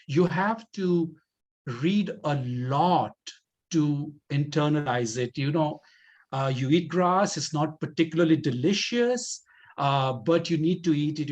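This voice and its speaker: A man presenting a community project in an informal question-and-answer talk, deliberately repeating phrases for emphasis. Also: a little high.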